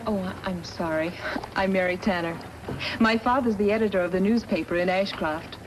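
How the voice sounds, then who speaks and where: A woman's voice: medium pitch, normal speed, natural voice. A young woman playing an eager newspaper reporter in a 1950s radio western, earnest and chatty. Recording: old radio recording, faint noise.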